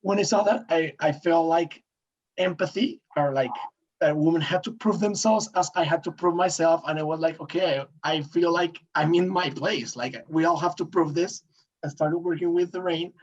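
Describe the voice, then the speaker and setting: A man's voice: a little high, moderate pace, dull audio. A man from Colombia whose first language is Spanish, speaking with a Colombian accent as a podcast guest, earnest and searching for words with many "eh" fillers. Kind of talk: casual interview talk.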